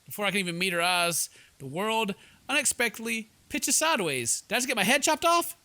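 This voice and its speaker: A man's voice: high-pitched, very animated, brisk, bright, forceful tone, no echo. A man hosting a game playthrough, goofy and theatrical, mixing commentary with dramatic read-aloud narration. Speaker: gaming video host.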